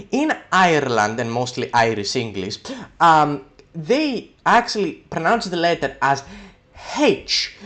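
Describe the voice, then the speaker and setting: A man's voice: fairly high pitch, a bit bright. A young man, a native Greek speaker, explaining letters and sounds in a loose, rambling way, with many ums and uhs. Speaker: video creator explaining letters. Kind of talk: casual educational talk.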